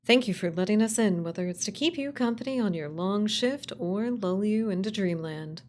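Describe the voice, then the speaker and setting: A woman's voice: medium pitch, expressive, normal speed, natural voice. A woman voicing a late-night radio host, reading a scripted bulletin in a formal announcer manner for a radio play. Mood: composed, announcing.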